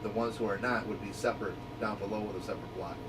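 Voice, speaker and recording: A man's voice: fairly low pitch, steady tone, fast talker, recorded from a distance, very noisy. A man hosting a radio group in a folksy, easygoing way, explaining things in casual instructional chat. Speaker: radio shop owner hosting a walkie-talkie group channel.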